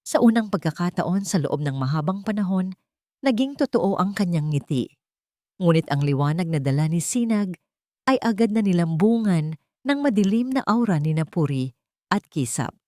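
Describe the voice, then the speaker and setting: Female Filipino narrator for dramatic fiction; slightly low pitch, very expressive melody, dry recording. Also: normal speed, no echo. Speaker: story narrator. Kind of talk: dramatic scripted storytelling narration.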